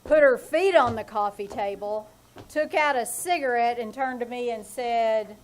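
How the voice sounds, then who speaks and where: Woman cast as exasperated husband, dry wit, vintage radio comedy, high voice. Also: a little slow, close to an everyday voice. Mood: wry. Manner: dry, wry, sighing asides. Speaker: actor in live old-time radio play. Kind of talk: 1940s radio comedy acting. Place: theater stage.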